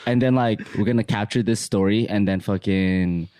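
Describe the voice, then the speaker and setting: A man guest on a podcast, chatting in an excitable way with a lot of "like" and laughter. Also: on the low side, normal speed, no room sound. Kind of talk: casual chat.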